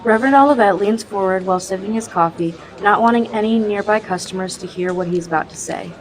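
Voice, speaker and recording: A woman's voice: mid-range voice, quick pace, low-quality recording. A woman reading scripted narration as the narrator of a radio play.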